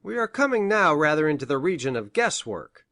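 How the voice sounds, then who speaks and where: A woman's voice: deep voice, big pitch swings, fairly quick, fairly bright tone, pitched below the performer's own voice. A woman voicing an earnest, scholarly male doctor with a formal, emphatic manner in an audiobook. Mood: doubtful. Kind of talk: audiobook character reading.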